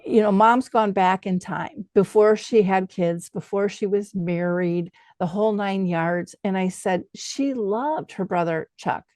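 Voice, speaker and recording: Woman, middle-aged, podcast host, dry close recording, medium pitch, conversational storytelling, acts out quotes. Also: unhurried, few pauses, a little mellow, dry recording. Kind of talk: conversational interview with personal storytelling.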